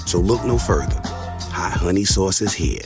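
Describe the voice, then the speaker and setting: A man delivering a scripted fast-food commercial voice-over as an announcer. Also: low-pitched, animated. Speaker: advertising announcer. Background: music under the voice.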